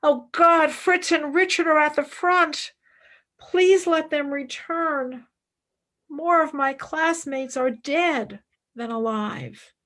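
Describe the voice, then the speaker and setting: A woman playing an earnest, principled young philosopher and wartime nurse in a radio play, with measured, emphatic delivery. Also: high-pitched, fairly bright tone. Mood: anguished, reflective. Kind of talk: dramatic radio play acting.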